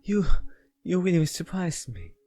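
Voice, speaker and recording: Man putting on excitable, higher-pitched young game characters. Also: measured pace, full character voice. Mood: startled, whiny. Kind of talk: amateur character read-aloud.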